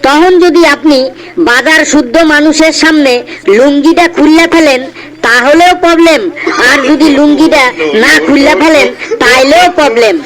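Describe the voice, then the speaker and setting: Very high-pitched cheeky schoolboy. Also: male voice, normal speed, a crowd in the background, rough recording. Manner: matter-of-fact, cheeky comebacks. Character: cheeky sixth-grade schoolboy, smart-mouthed and unflappable. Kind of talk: comedy cartoon dialogue.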